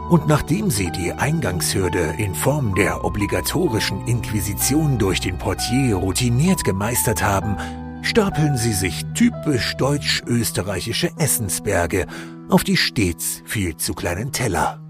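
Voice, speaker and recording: Low, animated male storyteller over music. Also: measured pace. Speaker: narrator. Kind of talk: scripted, wry story narration.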